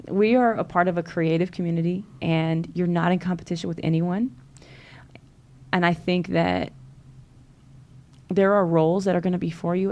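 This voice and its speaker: A woman's voice: fairly low pitch, gravelly, dark tone. A woman from Louisiana with a Southern accent, a podcast guest talking earnestly and encouragingly, with many fillers. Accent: Southern American. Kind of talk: casual interview chat.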